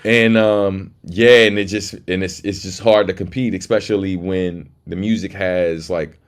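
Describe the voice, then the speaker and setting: Male livestream host, deep voice, laid-back street-casual delivery, big on shout-outs. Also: fairly even intonation, unhurried, clear, resonant tone.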